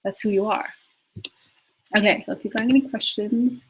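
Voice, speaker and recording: A woman's voice: mid-range voice, steady tone, fairly quick, slight background noise, poor audio. A woman in her twenties, a life coach, talking earnestly in a live Q&A and repeating words for emphasis. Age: 20s. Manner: earnest, repetitive, emphatic, with laughs and restarts. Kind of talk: live Q&A talk on spirituality.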